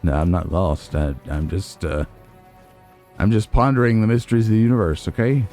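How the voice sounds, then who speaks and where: A man's voice: low voice, normal speed, a little mellow, soft background music. A man giving casual, playful gameplay commentary, talking back to characters in a theatrical way. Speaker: let's play host.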